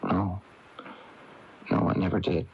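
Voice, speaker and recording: An actor playing a troubled, withdrawn young man in a radio mystery drama, haunted and evasive, speaking haltingly and defensively. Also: sits low, little pitch movement, vocal fry, slight background noise, heavily acted. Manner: halting, defensive, repeating himself. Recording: muffled 1980s AM radio tape, music behind.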